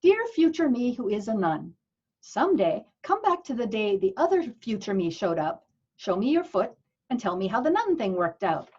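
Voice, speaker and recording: A woman's voice: medium pitch, big pitch swings, moderate pace, a little mellow, breathy edge. A woman playing a naive, sheltered, wistful nun in an earnest comedic table read. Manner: innocent, earnest, easily scandalized. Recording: dull, lo-fi video call audio.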